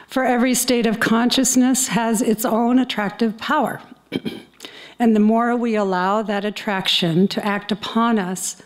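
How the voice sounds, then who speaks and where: An older woman reads sacred texts aloud at a service in a chapel. Her delivery is solemn and measured, with a middle-pitched voice. She speaks deliberately into a stand microphone, as a reader would to a congregation. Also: a little mellow. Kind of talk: solemn scripture and commentary reading aloud. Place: indoor chapel. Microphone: stand microphone close to the mouth.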